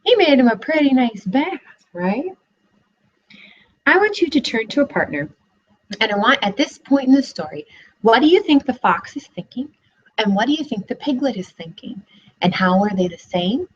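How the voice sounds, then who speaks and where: A young woman teacher giving a read-aloud lesson for children, asking questions in an expressive way, recorded on a laptop webcam in a living room. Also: fairly high pitch, moderate pace, husky. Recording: dull lo-fi webcam audio.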